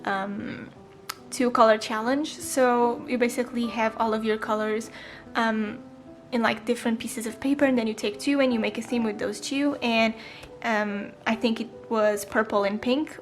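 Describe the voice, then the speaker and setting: A twenty-year-old woman chatting casually in a voice-over, with frequent ums and small self-corrections. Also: on the high side. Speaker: planner vlogger. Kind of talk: casual voice-over flip-through.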